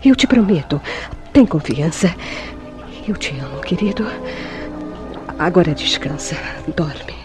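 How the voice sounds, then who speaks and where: An actress playing a possessive, remorseful femme fatale in a melodramatic radio drama, speaking with ardent, formal diction. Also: female voice, fairly low pitch, very animated, unhurried, pauses often, over music. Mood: tender. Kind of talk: old-fashioned melodramatic radio drama acting.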